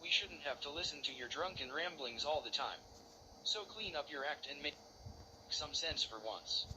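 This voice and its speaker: A man's voice: on the high side, expressive, moderate pace, background noise. Man with a taunting, scornful commentary voice. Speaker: commentary channel host. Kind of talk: mocking commentary over clips.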